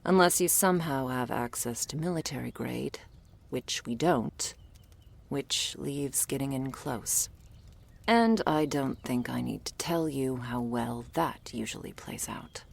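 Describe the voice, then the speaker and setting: A woman performs a battle-tired monster huntress with an extremely deep voice for a woman. It is husky and breathy, with a heavy gravelly creak. She is guarded and sardonic, giving curt orders straight to the listener in an intimate audio roleplay. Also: lively intonation, unhurried, exaggerated voice. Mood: grim, instructive. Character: gruff, world-weary female monster hunter, curt and guarded.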